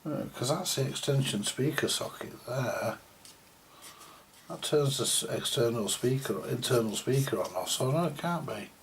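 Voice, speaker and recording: A man's voice: mid-range voice, lively intonation, moderate pace, vocal fry, slight background noise. A British man giving casual, colloquial hands-on repair commentary, thinking aloud and muttering to himself with a mild grumble. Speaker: vintage radio repairer presenting a video.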